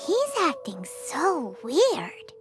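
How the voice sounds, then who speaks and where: A tiny fairy companion from a video game, squeaking at an extremely high, childlike pitch. She is blunt and cheeky, with wildly swooping delivery. Loud music plays behind her. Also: wildly swooping pitch, drawn-out words, exaggerated voice. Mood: puzzled. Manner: blunt, exclamatory, third-person baby-like talk.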